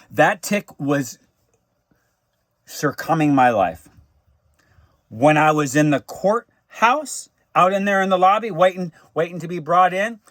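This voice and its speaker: An American man giving an unscripted personal monologue in a halting, repetitive way, with stammered restarts and emphatic drawn-out phrases. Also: fairly high pitch, a bit bright, dry recording. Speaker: vlogger recounting personal grievances.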